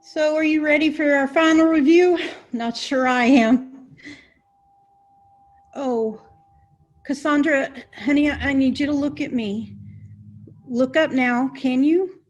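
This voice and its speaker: A woman's voice: high-pitched, steady tone, slow pace, pauses often, muffled recording. A woman playing an exasperated, bossy leader of an amateur theater production in a web comedy, with an emphatic, scolding manner. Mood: coaxing, concerned. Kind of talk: comedic web series acting.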